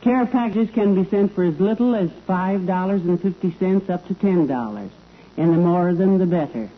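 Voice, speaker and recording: Woman acting sensible wife, gently bossy, 1940s radio comedy, thin vintage recording. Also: fairly low pitch, moderate pace, phone-quality sound, natural voice. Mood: earnest.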